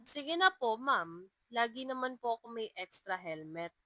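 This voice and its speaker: A woman's voice: a little high, lively intonation, unhurried, sharp tone, dry recording. A woman voicing a friendly, courteous man, a teacher, in a narrated love story.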